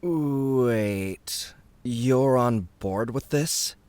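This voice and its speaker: A man's voice: mid-range voice, extremely slow, darkish tone, clean tone, no echo, pitched above the performer's own voice. A man voicing a casual, wary young male sidekick in an audiobook, blunt and questioning. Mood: surprised, doubtful. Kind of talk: audiobook character acting.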